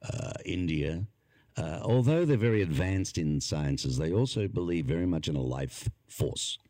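A man's voice: low voice, expressive, unhurried, dark tone, dry recording, studio quality. A man hosting a conversational interview, asking questions and musing aloud. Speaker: host.

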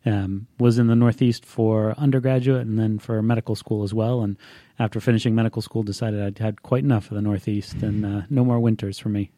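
Easygoing low-voiced man from Hawaii. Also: flat delivery, a little fast, warm tone, no room sound, studio quality. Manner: easygoing, lightly joking. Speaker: guest, company founder and physician. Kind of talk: casual interview answers.

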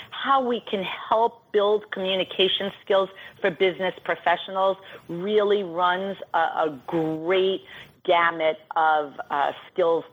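A woman's voice: medium pitch, husky, narrow, tinny audio. A middle-aged woman with a New York accent, a guest coach explaining at length in an articulate, list-like way. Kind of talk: radio interview.

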